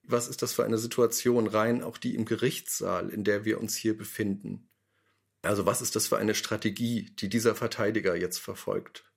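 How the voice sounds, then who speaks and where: Low, creaky-voiced man reflecting. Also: steady tone, normal speed. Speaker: radio drama maker. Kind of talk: reflective interview talk.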